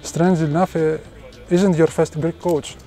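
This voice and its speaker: A man interviewing a basketball player, asking long questions with frequent hesitant pauses. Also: a little high, level tone, dark tone. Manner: hesitant, many filler pauses.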